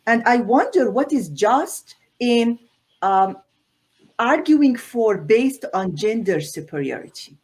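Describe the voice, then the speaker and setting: A woman's voice: on the high side, lively intonation, takes it slowly. A middle-aged woman from Syria, explaining her reasoning as a guest on a video call, earnest and emphatic, often repeating words as she builds a point. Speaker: guest scholar. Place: indoor room on a video call. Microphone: laptop or webcam mic on a video call.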